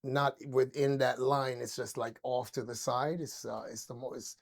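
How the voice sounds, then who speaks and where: A man's voice: mid-range voice, steady tone, normal speed. Older man, rambling guest. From Barbados. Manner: rambling, many fillers and restarts. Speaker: interview guest. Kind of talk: casual interview storytelling.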